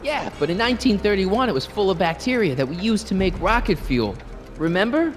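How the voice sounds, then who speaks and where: An actor playing an earnest, exasperated teenage time traveler in an animated video game, talking casually and pleadingly. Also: male voice, high voice, a little fast. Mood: insistent, puzzled. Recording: long hall reverb, faint music.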